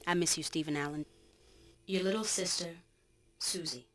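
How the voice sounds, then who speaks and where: A woman's voice: lowish voice, steady tone, moderate pace, a bit bright, natural voice. A woman giving a dramatic reading as a soldier's grieving younger sister. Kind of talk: dramatic reading of a written commentary.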